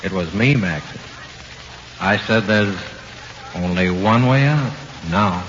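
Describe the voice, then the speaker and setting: A man's voice: on the low side, slow pace. An actor playing a taunting, sinister male ghost with an insinuating, menacing manner in 1940s radio horror. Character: ghost of a dead man, taunting, sinister companion. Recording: muffled, very noisy 1940s radio recording.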